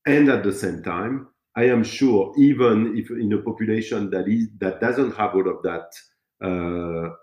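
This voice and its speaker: Man co-host, lowish voice, halting and hesitant, roomy echo. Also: darkish tone. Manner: halting, stammering restarts. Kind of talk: casual conversation.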